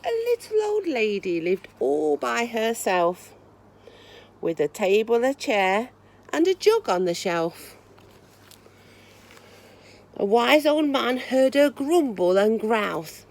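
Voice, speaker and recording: High-voiced woman reading a children's story expressively. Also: slow pace, creaky, pauses often. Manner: expressive, sing-song rhyme. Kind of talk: children's storybook read-aloud.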